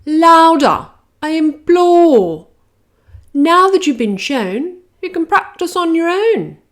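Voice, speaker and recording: A woman voicing a bossy female dragon schoolteacher who gives orders in a loud, high voice. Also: very animated, slow pace, full character voice. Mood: commanding.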